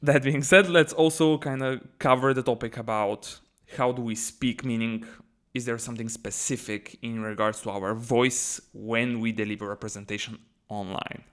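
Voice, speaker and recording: A man's voice: mid-range voice, fairly even intonation, normal speed, a bit bright, smooth. Chatty man hosting a podcast. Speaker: podcast co-host. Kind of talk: casual podcast conversation.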